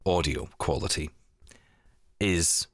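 A man's voice: extremely low pitch, slow pace, creaky, fairly bright tone, slightly rough, no room sound, clean studio sound. A man hosting a casual podcast chat, steering the talk with questions. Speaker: podcast host.

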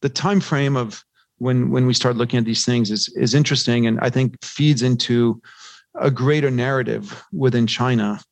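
A man's voice: medium pitch, fairly even intonation, normal speed, creaky, no room sound. A man giving conversational financial commentary as an interview guest, talking discursively and posing rhetorical questions. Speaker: podcast guest, investment research analyst.

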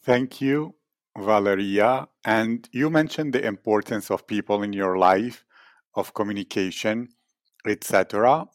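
A man with a lowish voice conducts an interview and asks long, carefully built questions in a formal, probing way. The recording is dry, with no room sound around him. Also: unhurried, no room sound.

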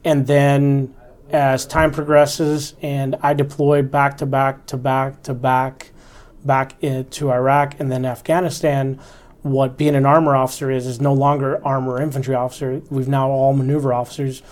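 Man in his 40s, army officer guest. Flat, steady pitch. Earnest, instructive, reflective. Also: moderate pace. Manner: earnest, instructive, stresses fundamentals. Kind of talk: reflective interview talk.

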